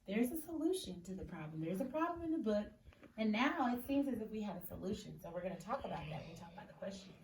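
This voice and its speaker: A woman teacher reading a picture book aloud expressively, pausing to question and explain in an instructive, emphatic way. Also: mid-range voice, a little mellow. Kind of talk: expressive story read-aloud with questions to children. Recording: echoey room, distant mic, faint noise.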